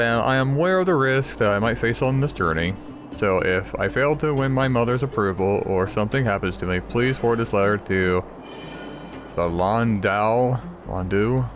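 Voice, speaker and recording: A man giving casual, offhand playthrough commentary as a gaming host, sometimes reading aloud with stumbles. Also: fairly low pitch. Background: game music.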